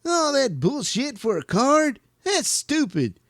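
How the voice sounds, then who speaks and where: A man's voice: very high voice, slow, dry recording, clean studio sound, pitched above the performer's own voice, heavily acted. A man voicing a whiny, panicky boy sidekick to a superhero in a comic audio cartoon. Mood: disgusted. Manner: whining, excitable.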